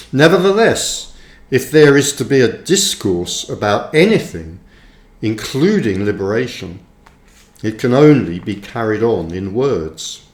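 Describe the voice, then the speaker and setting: Slow, expressive man reading in echoey room. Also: mid-range voice. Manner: deliberate, measured phrasing with pauses. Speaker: author reading own book. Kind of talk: audiobook-style reading of a reflective text. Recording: roomy, echoing voice recording.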